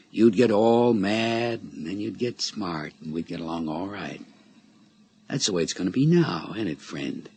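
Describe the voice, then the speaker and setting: An actor playing a sly, menacing middle-aged blackmailer in a radio drama, taunting with mock-friendly, folksy familiarity. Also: male voice, deep voice, darkish tone. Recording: radio drama recording with background noise.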